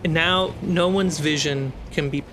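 A man narrating a tabletop fantasy game as game master in deliberate, halting phrases, with music behind him. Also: a little high, fairly even intonation, noisy background.

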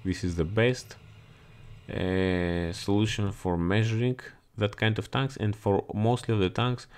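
A man with a very low voice gives a slow tour of a ship's engine room. He speaks non-native English and often repeats words for emphasis. He is recorded on a body-worn camera as he moves between the machines. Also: fairly even intonation, smooth. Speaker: marine chief engineer giving a ship tour. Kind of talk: explanatory walkthrough video. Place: ship engine room, indoors. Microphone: body-worn action camera.

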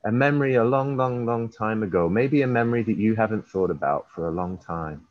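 A man leading a guided hypnosis session as a hypnotherapist, using repetitive, drawn-out and emphatic suggestive phrasing. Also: lowish voice. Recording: roomy, slightly dull recording.

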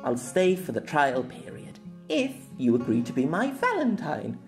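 A man's voice: on the high side, huge pitch swings, moderate pace, soft background music, pitched above the performer's own voice. A man doing a cheeky, playful young woman. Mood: teasing. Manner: teasing, playful.

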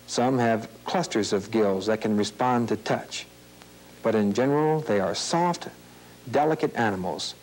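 A man narrates an old-fashioned educational nature film for young viewers. His voice sits at a medium pitch, and he explains things in plain, measured phrases. A light hum and hiss from an old tape soundtrack runs underneath. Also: a little mellow, poor audio. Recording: hissy, humming old videotape soundtrack.

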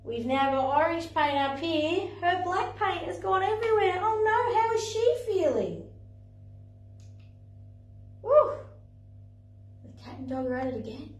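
Animated woman narrating in an echoey playroom. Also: extremely high pitch, measured pace, pauses often, distant mic. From Australia. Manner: animated, sing-song, exclamatory storytelling. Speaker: playgroup facilitator. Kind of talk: storytelling lesson for parents and toddlers. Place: indoor playroom, in front of a screen. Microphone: far camera. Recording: echoey room, voice from afar.

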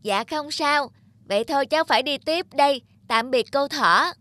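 A woman's voice: high-pitched, moderate pace, a bit bright. A woman voicing a kind, determined young male puppy in a children's cartoon. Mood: helpful, polite. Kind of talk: children's cartoon storytelling dub.

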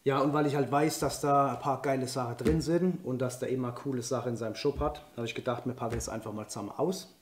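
Man in his 30s, a bit hoarse from a cold, excitable unboxing host, echoey room. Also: mid-range voice, fast, few pauses. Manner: enthusiastic, chatty, laughs often. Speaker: outdoor and shooting sports vlogger. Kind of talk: casual unboxing vlog. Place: indoor room with a wooden table. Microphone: camera-facing, seated at a table. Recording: echoey room, faint noise.

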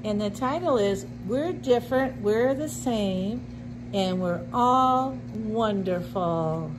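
A middle-aged woman reading a picture book aloud to young children, with deliberate simple phrasing and little asides. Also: medium pitch, noisy background. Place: indoor classroom with sink and counter.

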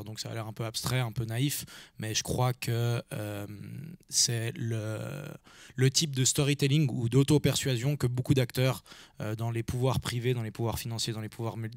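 A man's voice: medium pitch, no room sound, clean studio sound. Reflective young man on a panel. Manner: reflective, many hesitations. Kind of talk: panel discussion. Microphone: handheld mic.

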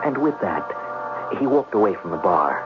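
An actor playing an anxious, indignant gambler, narrating and pleading theatrically in a 1970s radio drama. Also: male voice, lowish voice, very animated, normal speed. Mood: dramatic narration. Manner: theatrical, stammering, nervous. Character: anxious, indignant male gambler in debt, narrating his plight. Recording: dull vintage radio recording, music behind.

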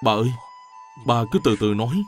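An actor playing an upright, kindly young scholar in an animated drama, speaking earnestly. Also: male voice, medium pitch, a little fast, faint music behind, lightly acted. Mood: gentle. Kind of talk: animated drama dub acting.

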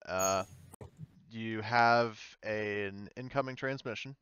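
Guy game mastering, halting casual narration, lowish smooth voice, muffled dry mic. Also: fairly bright tone, no echo. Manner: halting, informal. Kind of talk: improvised narration of a tabletop roleplaying game.

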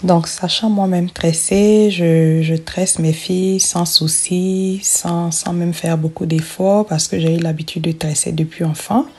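Low-voiced woman telling her braiding story. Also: fairly even intonation, measured pace, few pauses, dark tone, slightly rough. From Africa. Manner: chatty, repeats words when restarting. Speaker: hair braiding vlogger. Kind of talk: personal storytelling voice-over.

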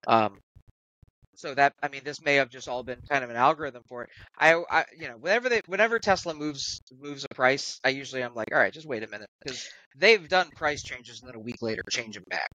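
A man co-hosting a podcast on a remote call, talking casually and haltingly as he thinks aloud. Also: medium pitch, lively intonation, bright, forceful tone, no echo, low-quality recording. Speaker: podcast co-host. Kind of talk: casual tech podcast discussion.

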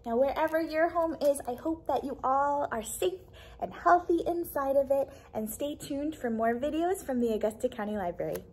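A young woman reading a picture book aloud to small children, expressively, pausing for questions and little asides to her listeners. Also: high voice, darkish tone, slight background noise. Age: young adult. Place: small indoor library room with a puppet theatre.

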